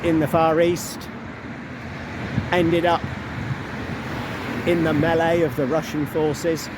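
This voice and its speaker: A man walking through a city, narrating a travel vlog in a chatty, unhurried way with asides. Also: on the high side, flat delivery. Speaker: travel vlogger. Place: outdoors on city streets by a river. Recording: noisy outdoor street recording. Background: loud traffic and city noise.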